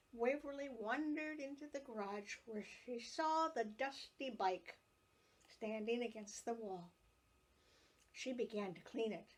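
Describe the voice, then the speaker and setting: A woman reads her own childhood memoir aloud at a slow, careful pace. Her voice sits a little high, and she lets each scene unfold with expressive storytelling. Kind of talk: reading a family memoir aloud.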